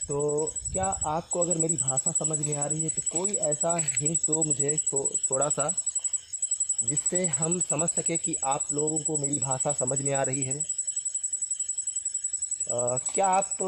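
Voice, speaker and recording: A man from northern India hosting a ghost-hunting vlog, speaking earnestly and haltingly, repeating himself as he addresses spirits and viewers. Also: a little high, steady tone, a little mellow. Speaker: paranormal vlog host. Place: outdoors at night by a tree. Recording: noisy outdoor night recording with insect sounds.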